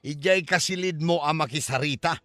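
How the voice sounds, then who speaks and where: A man's voice: on the high side, normal speed, a bit bright, natural voice. An actor with a Filipino accent playing a grumpy, nagging man in a family comedy drama, grumbling and exclaiming. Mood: annoyed. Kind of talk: comedy audio drama acting.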